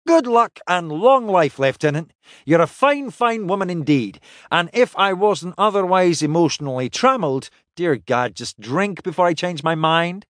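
Man acting a hearty, roguish space captain, lively pitch. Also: moderate pace, no room sound, studio quality. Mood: boisterous. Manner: exclamatory, theatrical, colloquial. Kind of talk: audiobook character acting.

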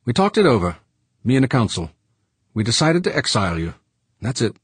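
A man voicing a gruff, worried male colony leader who speaks bluntly and curses. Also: medium pitch, big pitch swings, fairly quick, no echo, pitched above the performer's own voice. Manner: blunt, curt, swears.

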